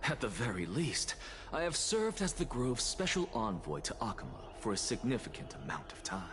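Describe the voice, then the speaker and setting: A man voicing a haughty heretic scholar in a video game, speaking in an eloquent, measured, condescending way. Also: medium pitch, huge pitch swings, background noise. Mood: cool calculation. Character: haughty male heretic scholar and academy leader.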